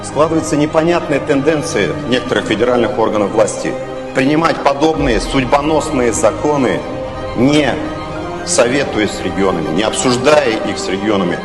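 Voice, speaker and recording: A man giving a formal, measured speech as a lawmaker addressing a session. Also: mid-range voice, animated. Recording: echoey hall with music beneath.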